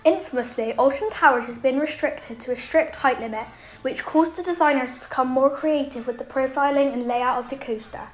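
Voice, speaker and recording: A woman narrates a scripted documentary. Her voice sits fairly high, and soft music plays behind her as she reads. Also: brisk.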